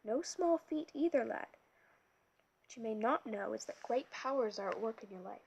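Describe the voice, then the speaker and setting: A woman reading an audiobook, voicing a kindly, learned male teacher who speaks gently and with measured patience. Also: on the high side, animated, vocal fry, a little hiss or hum, low-quality recording. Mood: gentle, earnest.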